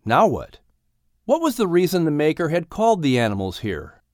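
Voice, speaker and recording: A man narrates a storybook in a scripted audiobook style. His pitch moves widely and expressively from phrase to phrase. The recording is very dry, with no room sound. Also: huge pitch swings, normal speed, a little mellow, dry recording.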